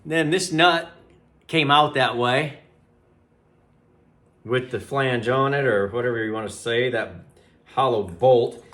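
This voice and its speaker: A man's voice: medium pitch, slow, long pauses, fairly bright tone. A man giving a casual, folksy hands-on demonstration as a DIY host, often talking half to himself. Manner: folksy, talks to himself while working. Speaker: DIY channel host and product reviewer.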